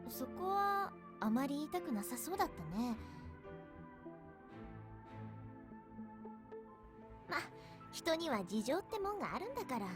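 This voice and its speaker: Brisk, breezy female soldier. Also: high-pitched, sing-song. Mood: casual, curious. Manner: casual, teasing. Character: breezy, outspoken young female soldier, playful and easygoing. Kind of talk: visual novel anime-style voice acting. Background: game background music.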